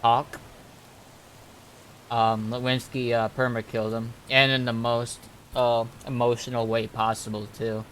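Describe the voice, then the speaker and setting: A man chatting casually on a game livestream, telling stories in a laid-back, halting way. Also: mid-range voice, flat delivery, fairly bright tone, a little hiss or hum, low-quality recording. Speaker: game streamer. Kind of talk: casual livestream chat, recapping roleplay events.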